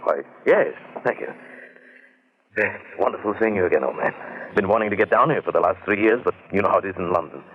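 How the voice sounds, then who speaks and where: Earnest, low-voiced gentleman adventurer on old radio. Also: animated, fast talker, breathy edge, narrow, tinny audio. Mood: polite, hearty. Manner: courteous, earnest, wondering. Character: concerned English businessman narrating an eerie African adventure. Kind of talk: 1940s-50s radio drama acting and narration. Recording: band-limited old-time radio recording.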